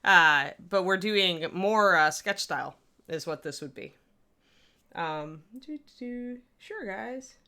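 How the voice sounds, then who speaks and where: Woman, Midwestern accent, fairly low pitch, bright tone, rambling livestream painting lesson. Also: animated, takes it slowly. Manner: chatty and rambling, talks to chat while she paints. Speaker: miniature painting streamer. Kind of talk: casual livestream painting tutorial.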